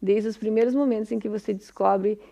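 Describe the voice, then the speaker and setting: A woman with a Brazilian Portuguese accent speaks at a middling pitch. She explains in an informal, didactic way, like a speech therapist guiding parents. Her phrasing is conversational and often punctuated by short tag questions to check that listeners follow. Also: steady tone, fairly quick, gravelly, mellow.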